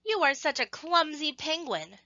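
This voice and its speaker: A woman voicing a teasing penguin in a children's storybook, calling out loudly in exclamations. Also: high-pitched, expressive, a little slow, no echo, lo-fi sound, pitched above the performer's own voice, full character voice. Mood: teasing, scolding. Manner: loud, exclaiming call-outs.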